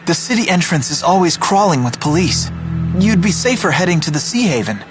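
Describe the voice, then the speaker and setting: An actor voicing an earnest, helpful teenage boy hero in a fantasy game dub, youthful and expressive. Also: mid-range voice, a little fast, over music, close to an everyday voice. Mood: concerned, helpful.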